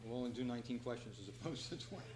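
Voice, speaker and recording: A man hosting a trivia quiz at a club meeting, reading out questions in a measured, announcing way. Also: mid-range voice, animated. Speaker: quiz host. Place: hall at a convention club meeting. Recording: reverberant hall, clear background noise and hum.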